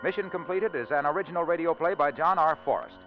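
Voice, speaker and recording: A man announcing and pitching products in a polished, emphatic 1940s radio style. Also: quick pace. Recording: muffled old radio, faint music.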